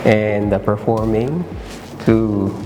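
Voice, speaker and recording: A man, an actor, speaking in a measured, sincere way. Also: lowish voice, fairly even intonation, noisy background. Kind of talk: interview. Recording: reverberant room with clear music.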